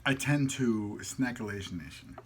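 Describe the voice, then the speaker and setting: Man, mid-pitched voice, playful vlog host, casual slangy chat, background noise. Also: normal speed. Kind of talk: casual chat while eating on camera.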